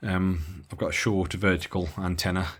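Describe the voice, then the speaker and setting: A man from the Midlands demoing a radio at his bench in a casual, halting way with lots of fillers. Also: low voice, steady tone, breathy edge. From Midlands of England. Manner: halting, many fillers and restarts. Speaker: radio hobby vlogger. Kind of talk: casual hands-on demo.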